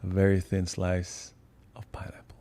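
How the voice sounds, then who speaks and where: A man, a podcast guest telling relaxed stories in casual chat and laughing often. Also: deep voice, normal speed. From Jamaica.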